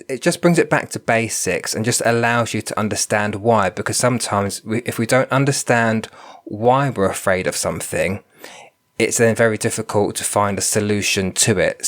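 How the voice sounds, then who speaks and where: Male podcast host, slightly low voice, stuffed up and nasal with a cold, reflective solo talk. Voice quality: nasal, bunged up. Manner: thoughtful, repeats words while thinking. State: congested from a cold. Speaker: podcast host, spiritual medium.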